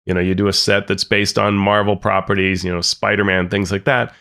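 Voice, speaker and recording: Low-voiced man recapping business news. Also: brisk, gravelly. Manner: relaxed, reads figures off notes with dry asides. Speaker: podcast co-host. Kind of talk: casual news discussion.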